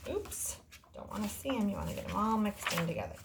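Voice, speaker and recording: A woman's voice: mid-range voice, huge pitch swings, fast talker, gravelly. A woman teaching young children informally, explaining things simply in casual words. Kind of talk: informal children's lesson and story time. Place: indoor room. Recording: echoey room, faint noise.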